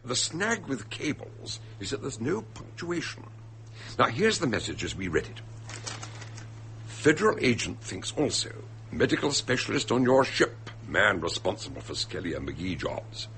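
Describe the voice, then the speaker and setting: A man acting in a radio drama as an eccentric, shrewd detective, expounding a murder with deliberate, theatrical emphasis. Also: medium pitch, sing-song, fairly bright tone, slight background noise. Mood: explanatory.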